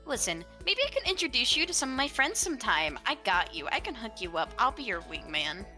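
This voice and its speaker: Woman doing a bright, sassy teenage girl. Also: a little high, very animated, brisk, soft background music. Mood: brash, friendly. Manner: sassy, slangy.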